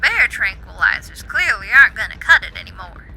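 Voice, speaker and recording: Brash, bossy female doctor for a game, voiced by an amateur woman reader: forceful volume, exasperated orders, music underneath. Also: a little high, big pitch swings, fairly quick, creaky, heavily acted. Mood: exasperated, commanding. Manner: loud, commanding, exasperated. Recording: music behind the voice.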